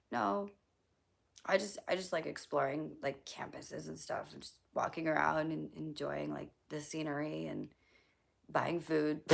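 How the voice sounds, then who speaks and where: A young woman streaming live and reading chat, her voice low and heavily gravelly with a hoarse, scratchy throat. She talks bluntly and loosely, full of fillers and casual swearing, often slipping into a near-whisper. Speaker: livestreamer answering chat.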